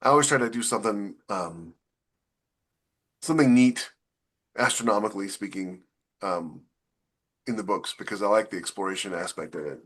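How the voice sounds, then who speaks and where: Low-voiced man chatting casually. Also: normal speed, long pauses, a bit bright. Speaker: podcast co-host, science fiction author. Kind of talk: casual panel chat.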